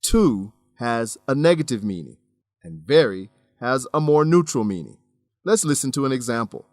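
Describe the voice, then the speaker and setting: A man hosting an English lesson as scripted narration, measured, instructive and clearly enunciated. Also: medium pitch, animated, darkish tone, dry recording, studio quality. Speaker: host and English teacher.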